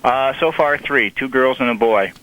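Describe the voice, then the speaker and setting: A man's voice: medium pitch, normal speed, background noise. A man calling a radio show, chatting casually with dry, joking remarks. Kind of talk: casual chat.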